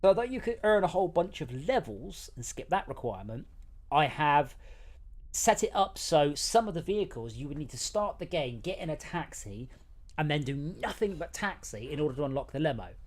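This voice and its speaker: Male game streamer and developer, casual and rambling, teasing, with animated, swooping delivery. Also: fairly high pitch, fairly quick.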